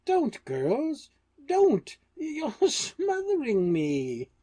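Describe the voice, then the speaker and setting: A fatherly, blustering theatre governor acted by a man in a period satirical audio play. His delivery is very drawn out and mellow, with big swoops of pitch. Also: wildly swooping pitch, drawn-out words, heavily acted. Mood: flustered, jovial. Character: fussy, fatherly theatre boss, puffing and affectionate.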